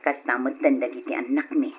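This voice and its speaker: A woman acting a humble, long-suffering mother and struggling wife in an old radio drama, in a husky voice. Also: extremely low pitch, level tone, a little fast, full character voice. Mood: downcast. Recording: dull lo-fi old radio recording.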